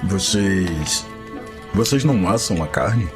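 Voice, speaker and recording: A man playing a modest young hero knight in an audio drama, polite, halting and hesitant. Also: sits low, lively intonation, full character voice. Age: young adult. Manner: halting, courteous. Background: loud music.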